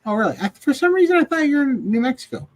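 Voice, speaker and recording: Animated man hosting a casual chat. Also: extremely high pitch, racing. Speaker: host of a live video chat show. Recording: clear background noise.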